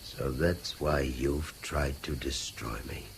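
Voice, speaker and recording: An actor playing a guilt-ridden but principled British man in a radio drama, speaking in formal, measured, articulate sentences. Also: rumbling low, fairly even intonation, gravelly, a little hiss or hum, exaggerated voice. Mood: weary, resigned. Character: guilt-ridden, principled, indignant educated man under threat. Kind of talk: BBC radio drama acting.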